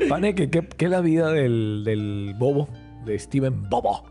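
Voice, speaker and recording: A man's voice: medium pitch, measured pace, dark tone, soft background music. A man hosting a casual chat, teasing and asking questions.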